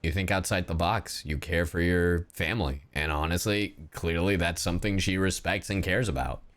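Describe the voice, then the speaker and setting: A man's voice: sits low, normal speed, fairly bright tone. A man giving blunt, sweary, casual reactions as a streamer playing a story game. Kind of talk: casual live reaction commentary. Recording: game music behind the voice.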